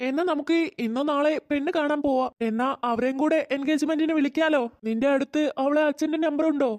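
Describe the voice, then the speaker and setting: A performer voicing a good-natured family man in a cartoon, with a pitched-up, childlike sound. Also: steady tone, quick pace, darkish tone, no room sound, close to an everyday voice. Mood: calm. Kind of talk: Malayalam family cartoon dialogue.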